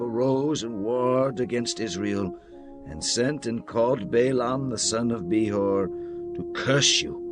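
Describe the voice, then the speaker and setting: A man performs an aged Hebrew leader addressing his people in a dramatized scripture reading. He speaks very slowly and solemnly, swelling with emphasis and dropping to grave hushed tones. Prominent music plays beneath the oratory. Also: on the low side. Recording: music under the voice throughout.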